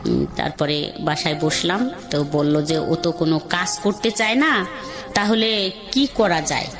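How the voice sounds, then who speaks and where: A woman's voice: lowish voice, unhurried. A woman with a Bangladeshi accent telling her own life story in a conversational, rambling, colloquial way. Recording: music under the voice.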